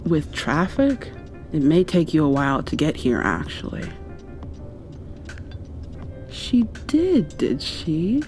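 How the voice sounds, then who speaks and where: A woman doing an ASMR roleplay, drifting between whispers and soft speech in an affectionate, playfully scolding way. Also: sits low, big pitch swings, slow, long pauses, faint music behind.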